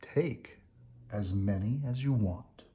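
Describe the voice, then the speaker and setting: A man voicing a gentle, friendly female talking tree in a storybook, with earnest, drawn-out speech. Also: lowish voice, a little hiss or hum. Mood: grateful.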